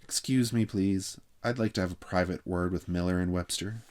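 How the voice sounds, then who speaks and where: A man's voice: deep voice, steady tone, moderate pace, darkish tone, lightly acted. A man voicing a tense young male camp counselor, indignant under strained politeness, in a children's audiobook.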